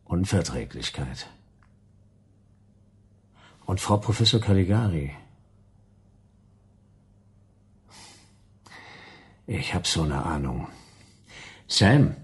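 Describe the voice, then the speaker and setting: A low, rough-voiced private eye from a futuristic radio play. He is brooding and terse, with dry wit. His delivery moves expressively up and down as he narrates his case. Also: expressive, takes it slowly, pauses often, full character voice. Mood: grim suspicion. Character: world-weary, dogged male private detective in sci-fi future. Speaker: lead actor narrating and playing a detective. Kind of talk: German radio drama acting, first-person noir narration.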